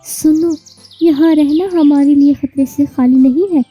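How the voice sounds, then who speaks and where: A woman's voice: sits high, fairly even intonation, measured pace, mellow, soft background music. A woman voicing a devout, protective young big-sister sparrow in a cartoon, speaking earnestly. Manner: earnest, reassuring.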